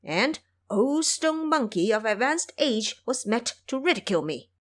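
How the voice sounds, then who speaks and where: A woman's voice: a little high, very animated, unhurried. A woman voicing a proud, hot-tempered male monkey warrior in an audio drama, brash and scornful in manner. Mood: indignant.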